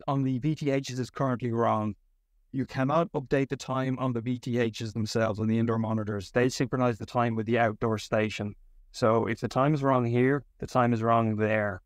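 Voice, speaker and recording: A man giving a step-by-step software tutorial as a tech support instructor. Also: medium pitch, no echo, studio quality.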